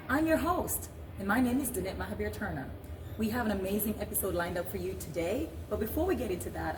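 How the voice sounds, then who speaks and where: A woman hosting a talk show in an announcing, presenter-like manner. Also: a little high. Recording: roomy echo, distant mic, clear background noise.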